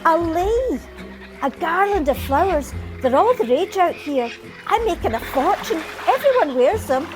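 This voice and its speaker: A woman playing an excitable, chatty Scottish garland seller in an amateur radio play. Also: very high voice, measured pace, music in the background, full character voice. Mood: excited, gushing.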